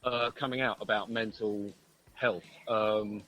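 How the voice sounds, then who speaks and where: A man's voice: lowish voice, fairly even intonation, measured pace, a bit bright, rough recording. A man, a musician being interviewed by phone on a headset, chatting casually in a jokey, rambling way. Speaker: band musician, interview guest. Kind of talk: casual chat. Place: outdoors, outside a pub. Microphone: phone call through Bluetooth headset.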